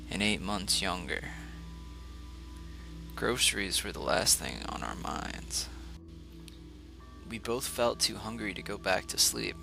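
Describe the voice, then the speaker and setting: A man reading a literary short story aloud as scripted first-person narration, measured and broken into short phrases. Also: on the low side, creaky. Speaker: audiobook reader.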